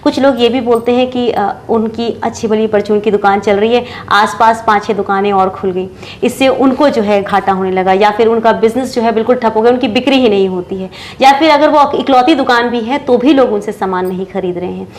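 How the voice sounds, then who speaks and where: A woman's voice: on the high side, fairly quick, hardly any pauses, a little mellow, a little hiss or hum. A woman hosting an interview show, putting long-winded questions to her guest. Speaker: programme host interviewing an astrologer.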